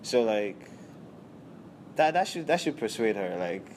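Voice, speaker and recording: Young man from Nigeria, relaxed. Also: fairly low pitch, a little slow, a little hiss or hum, poor audio. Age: young adult. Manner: relaxed, tags sentences with "right?". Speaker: interviewee, university student. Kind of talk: casual interview answers.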